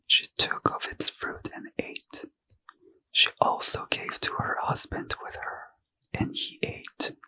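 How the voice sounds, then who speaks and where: Man, fully whispered, unhurried ASMR bedtime reading, soft breathy delivery. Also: low-quality recording. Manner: soft unvoiced whisper throughout. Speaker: ASMR reader.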